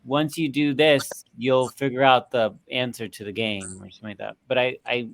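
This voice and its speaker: A man chatting casually as a film panelist, feeling his way through halting, meandering sentences. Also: medium pitch, steady tone, creaky, no room sound. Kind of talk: casual movie discussion.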